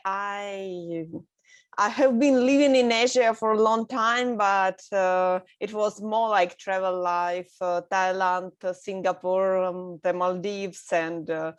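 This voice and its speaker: Russian-accented female guest, unhurried, dry close sound, hesitant. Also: mid-range voice, dry recording. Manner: halting, with many fillers and soft laughs. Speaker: guest artist. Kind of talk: casual interview.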